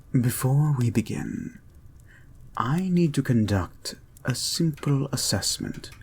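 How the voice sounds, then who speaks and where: A creepy, controlling therapist acted by a man in an intimate hypnosis audio drama. His voice is low and gravelly, breathy at the edges, and he speaks softly with very deliberate, polite phrasing, swaying widely in pitch as he soothes. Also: heavily acted. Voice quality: breathy, husky, often dropping to a whisper. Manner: soft-spoken, deliberate, halting, formally polite. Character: creepy, soothing male experimental therapist, calmly controlling and intent. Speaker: audio roleplay performer. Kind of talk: slow intimate ASMR-like audio roleplay, guided hypnosis.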